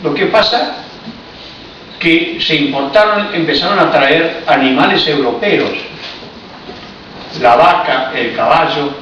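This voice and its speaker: A man's voice: a little high, slow pace, pauses often, pushed and bright, recorded from a distance. A man from Argentina with an Argentine Spanish accent, giving a history lecture in a reflective, explanatory way with ironic asides. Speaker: speaker giving a public talk. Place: cultural hall in Paris. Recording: echoey, muffled, noisy room recording from afar.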